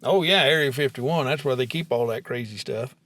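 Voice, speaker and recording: A man's voice: mid-range voice, a little fast, dry recording, close to an everyday voice. A man playing a laconic older country fella on a comedy podcast, simple and matter-of-fact. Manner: folksy, deadpan. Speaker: podcast co-host. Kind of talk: comedic podcast banter in character.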